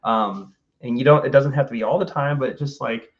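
A forty-year-old man talks loosely and at length, full of ums and restarts. His voice has an ordinary middle pitch. The call audio sounds dull and lo-fi. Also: moderate pace. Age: 40s. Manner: relaxed, rambling with many fillers and restarts. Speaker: guest artist. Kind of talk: casual interview chat.

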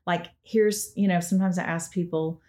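A woman's voice: on the low side, steady tone, moderate pace, dark tone. A woman therapist talking in an encouraging, reflective way. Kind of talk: casual conversation.

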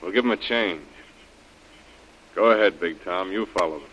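Smug radio villain, muffled sound. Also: male voice, sits low, normal speed, a bit bright, a little hiss or hum. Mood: bossy, calculating. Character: sly scheming male villain, smug and bossy. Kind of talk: 1930s radio adventure acting. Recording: muffled old radio recording.

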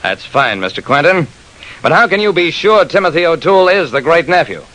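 Here is a private detective telling his own case. He is terse and wry, with lively rises and falls in a fairly high male voice. It is old-time radio narration on a vintage recording. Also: brisk, rough recording. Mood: businesslike.

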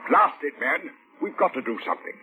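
An actor playing an irritable, overbearing British police commissioner in an old radio drama, brusque and impatient. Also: male voice, fairly high pitch, lively intonation, brisk, natural voice. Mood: blustering, impatient. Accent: British English. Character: irritable, overbearing senior police commissioner, male, demanding results. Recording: noisy old radio recording.

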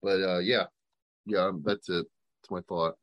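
A man's voice: low voice, steady tone, moderate pace, no echo, lo-fi sound. A man co-hosting a casual podcast over an online call, rambling and tentative. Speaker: podcast co-host.

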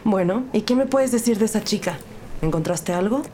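A woman's voice: medium pitch, expressive, brisk, mellow, a little hiss or hum, close to an everyday voice. A woman playing a composed, serious police detective with a matter-of-fact manner in an audio drama. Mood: sombre. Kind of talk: Spanish-language audio drama acting.

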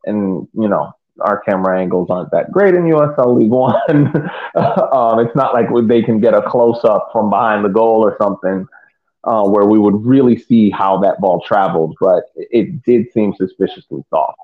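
Male podcast co-host, lower-pitched, phone-quality audio, casual soccer analysis with halting phrasing. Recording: narrow phone-like sound.

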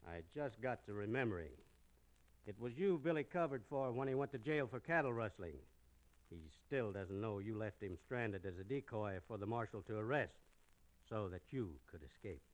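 An actor playing a calculating, authoritative villainous town leader in an old radio western. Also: male voice, lowish voice, lively intonation, moderate pace, lightly acted, rough recording. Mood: cold, scheming.

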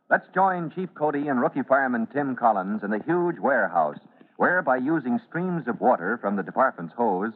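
A man narrating a classic radio serial in a theatrical, emphatic announcer style. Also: medium pitch. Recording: narrow vintage radio recording.

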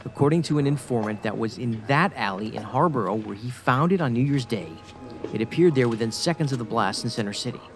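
A man's voice: medium pitch, lively intonation, fast talker, close to an everyday voice. A man playing a stubborn, sardonic federal agent in a science fiction audio drama, speaking dryly and insistently. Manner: dry, insistent, sarcastic. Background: music.

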